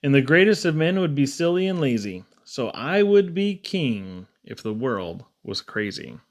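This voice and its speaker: A man's voice: mid-range voice, big pitch swings, a little slow. A man reading humorous children's poems aloud in a theatrical, playful storytelling manner. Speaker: poetry reader.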